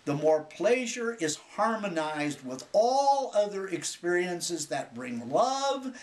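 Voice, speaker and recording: A man reading a spiritual book as a scripted narration, in measured, deliberate phrases with frequent pauses. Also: high voice, sing-song.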